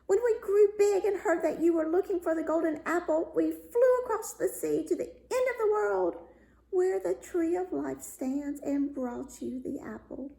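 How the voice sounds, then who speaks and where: Small storybook raven played by a woman, high-pitched, earnest, eager to help. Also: normal speed, gravelly, dark tone, echoey room, low-quality recording. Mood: eager, grateful. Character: grateful young ravens, small eager helpful birds.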